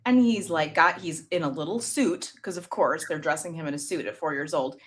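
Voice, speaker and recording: A woman's voice: sits low, fairly quick. A woman co-hosting a podcast, telling stories in a giggly, chatty way full of "like". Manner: giggly, storytelling, lots of "like". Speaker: podcast co-host. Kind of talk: casual chat.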